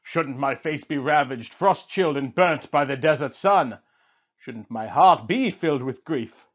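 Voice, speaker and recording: A man's voice: mid-range voice, animated, measured pace, muffled recording. A man voicing an anguished, proud wandering king in an impassioned lament, as part of a storytelling reading.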